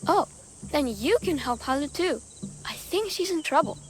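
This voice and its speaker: A woman's voice: sits high, lively intonation, moderate pace, a little hiss or hum. A woman voicing an eager, chatty village child in a video game drama. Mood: eager, worried.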